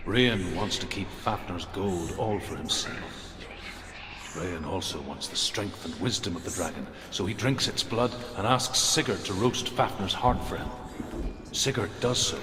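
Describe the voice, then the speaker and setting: A man playing a grave storyteller of old myths in a video game, solemn and measured. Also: sits low. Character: grave male storyteller recounting Norse myths. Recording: echoey long reverb, ambient noise.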